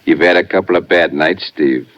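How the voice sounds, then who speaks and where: A man playing a big, calmly menacing sanitarium orderly in a 1950s radio drama, patronizing and understated. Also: low voice, normal speed. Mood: placid menace. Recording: muffled vintage 1950s radio recording.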